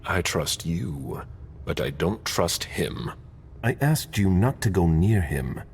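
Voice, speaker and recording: Casting: a cold, loyal vampire enforcer for a game; rock-bottom pitch, heavy fry, grave delivery. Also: male voice, big pitch swings, slight background noise, exaggerated voice. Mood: stern, protective. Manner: grave, measured, commanding. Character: stern male vampire enforcer, protective, coldly menacing, loyal. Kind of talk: dramatic video game acting.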